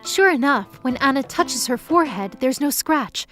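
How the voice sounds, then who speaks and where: A woman's voice: sits high, expressive, normal speed, fairly bright tone, faint music behind. A woman reading scripted narration for an audio drama story.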